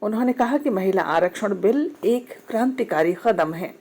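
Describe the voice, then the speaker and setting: A woman's voice: medium pitch, lively intonation, normal speed, a little mellow. A woman with an Indian accent reading a formal, scripted radio news bulletin.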